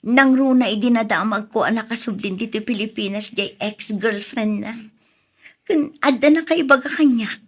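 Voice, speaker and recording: An actress plays a trusting young woman in a romantic drama. Her voice is high and slightly rough, with an anxious, easily wounded temper. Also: moderate pace, close to an everyday voice. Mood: worried. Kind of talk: Ilocano radio-drama style acting.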